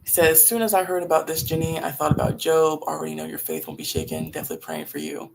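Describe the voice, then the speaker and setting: A woman with a raspy voice talking casually and reflectively on a livestream while grieving, in halting phrases that sometimes trail off. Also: low-pitched, lively intonation, a little mellow, a little hiss or hum, low-quality recording. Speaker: livestream host. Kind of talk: casual, reflective livestream talk about faith and grief.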